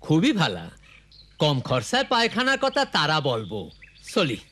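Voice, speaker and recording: A man's voice: on the high side, wildly swooping pitch, moderate pace, sharp tone, heavily acted. A man playing an earnest adult villager giving practical advice in a children's cartoon, with a Bangladeshi accent. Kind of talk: children's educational cartoon acting.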